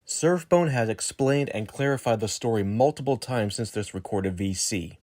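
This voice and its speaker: A man's synthetic robotic voice reading a formal, scripted exposé in a measured way. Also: lowish voice, darkish tone, no room sound, clean studio sound. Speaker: video narrator. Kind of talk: scripted narration, accusatory exposé.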